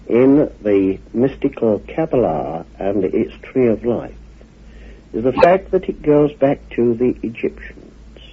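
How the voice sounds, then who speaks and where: A man delivers a measured lecture in short, deliberate phrases. His pitch rises and falls widely and the voice is a little husky. It comes through a thin, tinny radio recording. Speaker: guest speaker on occult teachings.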